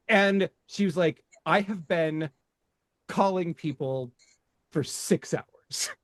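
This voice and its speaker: Excitable, rambling man with a higher voice. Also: no echo. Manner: rambling, many restarts and swearing, excitable. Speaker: podcast co-host. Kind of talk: casual movie podcast chat.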